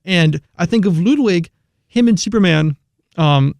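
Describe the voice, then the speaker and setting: A man's voice: high voice, a little slow, vocal fry, no room sound, clean studio sound. Casual young male radio host. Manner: relaxed, rambling, many fillers. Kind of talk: casual radio chat about film music. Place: college radio studio.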